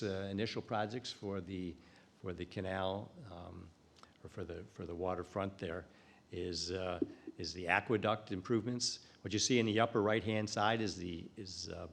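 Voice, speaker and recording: A man giving a live conference presentation, pausing often with fillers and restarts. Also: sits low. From United States. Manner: halting, many fillers and restarts. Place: conference room.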